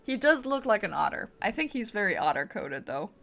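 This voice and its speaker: A woman's voice: medium pitch, big pitch swings, a little fast, vocal fry, a bit bright. A woman chatting casually as she streams a game, thinking aloud and correcting herself in a playful, rambling way. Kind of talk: casual livestream chat while gaming.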